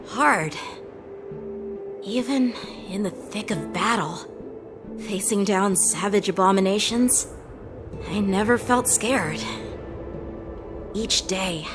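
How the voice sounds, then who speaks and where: A woman's voice: medium pitch, close to an everyday voice. A woman voicing an earnest, eager teenage boy, a proud young knight in a video game. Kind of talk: English video game voice acting. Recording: music behind the voice. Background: game soundtrack music.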